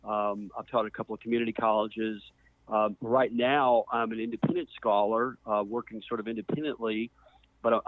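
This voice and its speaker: A middle-aged man from the American Deep South talks as an interview guest over a thin, phone-like connection. His pitch is average and he explains things in a measured, conversational way, with no room sound. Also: no room sound. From Mississippi, US Deep South. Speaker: podcast guest, archaeologist. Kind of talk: conversational account of own background. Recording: muffled phone-like narrow band.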